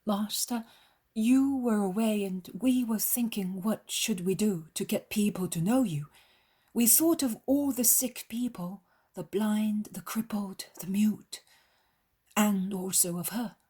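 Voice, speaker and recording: An earnest, humble male disciple recounts his tale with slow, unhurried phrasing, read by a woman in her own voice. The tone is breathy and soft, devotional rather than dramatic. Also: medium pitch. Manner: earnest, reverent storytelling. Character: male apostle Andrew, humble fisherman disciple. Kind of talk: devotional reading.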